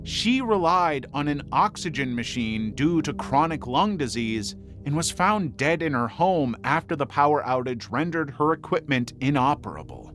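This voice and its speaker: A man reading scripted true crime documentary narration in a measured, serious storytelling manner. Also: on the high side, big pitch swings, fairly bright tone. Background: background music.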